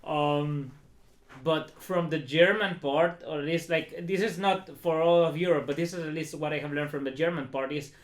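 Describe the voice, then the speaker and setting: Higher-voiced man, echoey room. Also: steady tone, moderate pace. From El Salvador. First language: Spanish. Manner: informal, chatty, peppered with filler phrases. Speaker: interview guest, startup founder. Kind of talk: casual interview talk.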